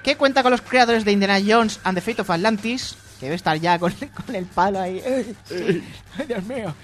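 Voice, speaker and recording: A man's voice: high-pitched, normal speed, a bit bright, a little hiss or hum. A man presenting video game news on a radio show, teasing his co-hosts and laughing often.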